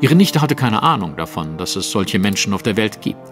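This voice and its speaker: An actor playing a composed, commanding Victorian detective in a German audio drama, speaking precisely and with theatrical authority. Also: male voice, lowish voice, very animated, brisk, a bit bright, long reverb tail. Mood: grave. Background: music.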